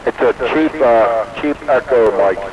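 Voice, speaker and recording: A man's voice: mid-range voice, measured pace. A man talking casually as an operator over a CB radio link. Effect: two-way CB radio transmission. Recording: muffled, noisy CB radio signal.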